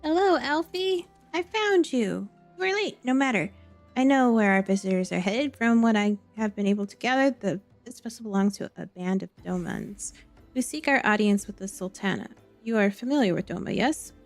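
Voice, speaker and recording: A woman's voice: fairly high pitch, expressive, soft background music. Formal, self-assured fantasy diplomat read by a woman. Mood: self-assured, businesslike. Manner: formal wording, halting over words. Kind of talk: reading game dialogue aloud.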